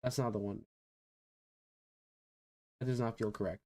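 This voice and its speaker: A man chats casually to his livestream audience. He speaks haltingly and self-consciously, doubling back on words. Music plays behind his voice. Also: on the low side, steady tone. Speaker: VTuber streamer practicing voice acting. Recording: background music under the voice.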